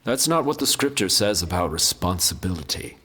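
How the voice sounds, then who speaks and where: An actor playing a kind, principled friar in a fantasy audio drama, a man speaking gently and deliberately with formal concern. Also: low-pitched, sing-song, slightly rough, full character voice. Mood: wary, troubled.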